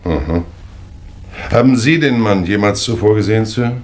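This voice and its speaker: An actor playing a methodical, polite but firm police inspector, questioning formally in 1960s German radio drama style. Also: male voice, on the low side, expressive, moderate pace, lightly acted. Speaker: actor in a crime radio play.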